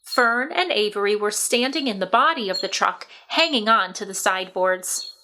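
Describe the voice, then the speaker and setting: A woman reads a children's novel aloud as narrator. Her voice is bright and forceful at a medium pitch, with expressive storybook delivery. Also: normal speed. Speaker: children's book reader.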